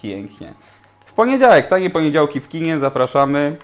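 A young man in his twenties, an actor and guest on a cooking show, talking in a lively, enthusiastic way in a small home kitchen. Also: a little high, a little fast, lo-fi sound. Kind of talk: casual chat. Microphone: lapel mic.

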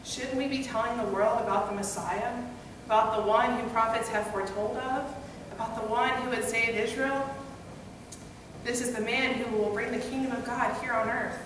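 A woman's voice: fairly high pitch, fairly even intonation, moderate pace. A young woman pastor at a church pulpit, preaching a first-person story sermon in an earnest, conversational storytelling manner. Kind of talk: first-person dramatic monologue sermon as Peter. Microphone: gooseneck pulpit mic, far camera. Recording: echoey hall, distant and noisy.

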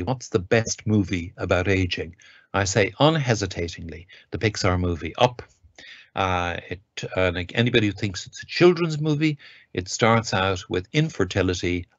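Deep-voiced man lecturing over video. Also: no echo. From Ireland. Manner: enthusiastic, reads quotes aloud with emphasis. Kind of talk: academic lecture. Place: home study with bookshelves. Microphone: webcam on a video call. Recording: dull lo-fi video call audio, dry.

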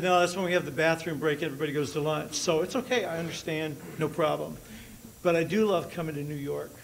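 A man's voice: fairly high pitch, gravelly, a little hiss or hum, poor audio. An older man, a company executive, giving a conference presentation on stage at a podium microphone, with dry, chatty jokes. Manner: dry joking, loose and chatty. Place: large auditorium stage.

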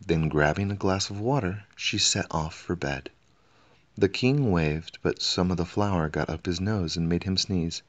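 A man narrating a children's bedtime storybook in an unhurried, expressive way. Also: low voice, dull audio.

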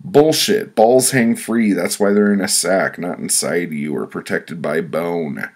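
Stubborn, agonized husband for comic audiobook, performed by a man, husky growl, raised and argumentative. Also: sits low, unhurried, voice pushed up. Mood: defiant. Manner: defiant, pained, growling.

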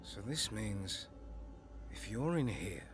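Nasty game villain boss, real slow n contemptuous, bossy, music behind. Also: male voice, lowish voice, sing-song. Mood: cold menace. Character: menacing, sneering male gang boss, bearded, middle-aged. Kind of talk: video game cutscene acting.

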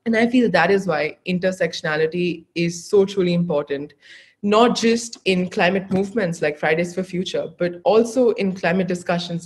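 A young male in his teens giving an earnest speech as a youth activist on a video call. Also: sits high. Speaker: youth activist, panel speaker. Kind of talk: earnest prepared panel speech. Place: living room indoors, video call.

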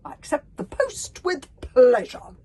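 A woman's voice: mid-range voice, big pitch swings, moderate pace, husky, voice pushed up. A woman voicing a boastful middle-aged male hero with a grand, flowery, self-satisfied manner in a storybook. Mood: pleased.

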